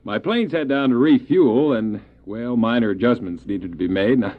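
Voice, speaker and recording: An actor playing a polite, curious traveller in an audio drama, speaking in a halting, inquisitive way. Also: male voice, medium pitch, phone-quality sound, close to an everyday voice. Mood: curious, hesitant. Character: curious, polite, hesitant male traveller visiting the hospital. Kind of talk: radio drama acting. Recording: thin, band-limited old cassette drama.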